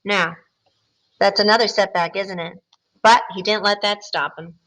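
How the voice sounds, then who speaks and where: A woman teacher reading a picture book aloud, expressive, with chatty asides to her listeners. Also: mid-range voice, moderate pace, poor audio. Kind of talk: storybook read-aloud with comments.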